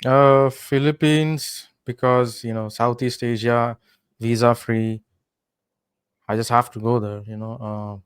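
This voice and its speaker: A young man from India, a podcast guest, telling travel stories in relaxed casual chat with many fillers. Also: medium pitch, slow, clear, resonant tone, dry recording, studio quality.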